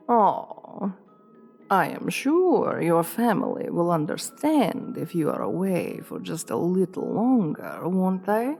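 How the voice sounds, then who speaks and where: A regal vampire queen, acted by a woman in an ASMR roleplay, with a lowish voice that swoops expressively. She speaks very slowly and formally, coaxing and commanding with seductive, veiled menace over faint music. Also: creaky, darkish tone, full character voice. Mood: ominous, coaxing. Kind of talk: scripted ASMR roleplay, addressing the listener.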